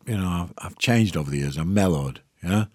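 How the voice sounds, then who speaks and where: A man's voice: low voice, fairly quick, darkish tone, dry recording, clean studio sound. A man, chatting casually as an actor guest, with frequent restarts. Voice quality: gravelly. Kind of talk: casual interview chat.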